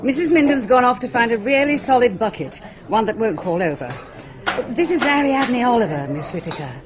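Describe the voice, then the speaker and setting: A woman's voice: fairly high pitch, animated, fairly quick, noisy background, lightly acted. A woman playing a gracious, bossy village hostess with an English accent in a radio drama. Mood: gracious, busy. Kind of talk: British radio drama acting. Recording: dull radio recording with busy chatter.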